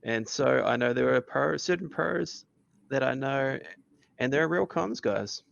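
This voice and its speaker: A man reading the part of a rambling young man wary of sharing a flat with a woman, with a gravelly voice at a casual table read. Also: mid-range voice, a little slow, dry recording, lo-fi sound, exaggerated voice. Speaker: podcast host reading a part.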